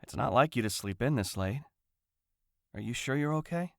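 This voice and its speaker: A concerned middle-aged father talks tenderly and reassuringly. His mid-pitched voice is recorded very dry, as in an audio drama. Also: expressive, moderate pace, gravelly, no room sound. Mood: gentle.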